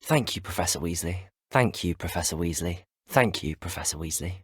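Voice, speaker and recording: An actor playing a polite, earnest teenage student wizard in a video game, with a British accent and courteous, articulate speech. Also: male voice, sits low, expressive, brisk, breathy edge, dry recording, clean studio sound, full character voice. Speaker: video game player character. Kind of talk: scripted game dialogue.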